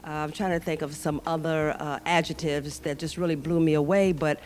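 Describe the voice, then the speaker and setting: A woman's voice: low-pitched, steady tone, brisk, a little mellow, soft background music. A woman hosting a local TV talk show, interviewing guests in an upbeat, enthusiastic manner. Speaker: TV show host. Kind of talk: friendly interviewing.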